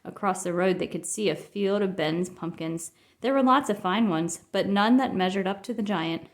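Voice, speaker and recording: A woman reading a children's picture book aloud as an expressive storyteller. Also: medium pitch, moderate pace. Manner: expressive, acts out dialogue.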